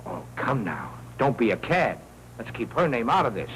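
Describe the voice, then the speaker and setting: An American actor playing a cocky, jittery, slangy private eye in a 1940s crime film. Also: male voice, medium pitch, very animated, normal speed, fairly bright tone, poor audio. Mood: flustered, indignant. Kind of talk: fast-talking 1940s crime film acting. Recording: 1940s film soundtrack, faint hum.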